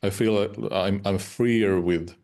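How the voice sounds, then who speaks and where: A man whose first language is Finnish answers interview questions in English. His voice sits extremely low, and he pauses often with uhs and restarts. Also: darkish tone. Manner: hesitant, many uhs and restarts. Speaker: interviewed musician. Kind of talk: casual interview talk.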